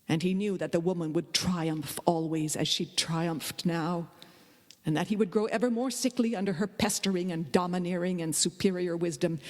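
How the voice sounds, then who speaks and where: A woman giving a dramatic live reading of a literary story, with expressive, deliberate phrasing. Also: fairly low pitch. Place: indoor hall, live performance. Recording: live performance in a reverberant hall.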